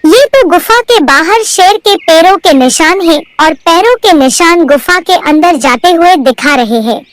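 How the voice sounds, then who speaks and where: A woman plays a young doe in a cartoon fable. The voice is extremely high and very animated, with a friendly, clever temper. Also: a little slow, no room sound. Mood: alarmed. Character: young female deer, friendly, trusting yet clever.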